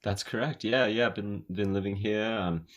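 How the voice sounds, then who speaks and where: A man from California, with an American accent tinged with Australian, chatting as a guest singer-songwriter in a relaxed, hesitant way full of fillers. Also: fairly low pitch, normal speed. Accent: American, slightly Australian. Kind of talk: casual interview chat. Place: his home recording studio.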